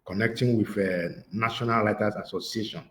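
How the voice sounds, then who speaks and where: A man from Nigeria, a guest on a video call, answering questions in a measured way with many hesitation fillers. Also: lowish voice, fairly even intonation, a little hiss or hum. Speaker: guest, writers' association secretary general. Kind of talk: interview answers.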